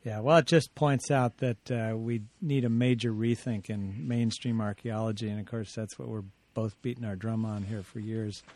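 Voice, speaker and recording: A man hosting an interview, speaking in a relaxed, agreeable way. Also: fairly low pitch, normal speed, few pauses. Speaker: radio host.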